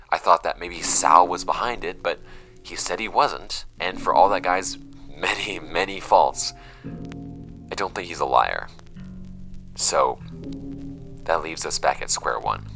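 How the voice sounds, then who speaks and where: A man's voice: very deep voice, gravelly, pushed and bright, exaggerated voice. A man acting a troubled young man in an audio drama, giving a hesitant, introspective confessional monologue. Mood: resolute, brooding. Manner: hesitant, introspective, halting phrasing. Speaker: audio drama actor in the lead role.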